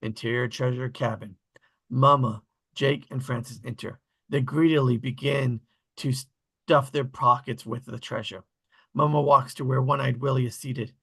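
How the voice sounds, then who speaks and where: Bright, husky man reading stage directions. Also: mid-range voice, steady tone, moderate pace. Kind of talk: scripted narration at table read.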